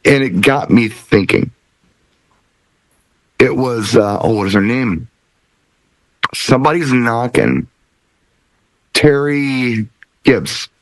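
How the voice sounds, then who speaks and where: A middle-aged man hosting a casual talk show, telling things in a rambling, animated way. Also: medium pitch, slow, pauses often, dry recording, low-quality recording. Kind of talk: casual chat.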